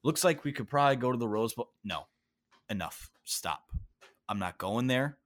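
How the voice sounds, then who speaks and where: Male sports podcast host, blunt and emphatic, mid-pitched voice. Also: animated, normal speed, a bit bright, slight background noise. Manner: emphatic, stern.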